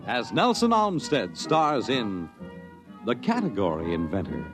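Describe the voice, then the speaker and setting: Highly animated 1950s radio announcer man. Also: unhurried. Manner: theatrical, emphatic. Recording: 1950s radio broadcast with music. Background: music.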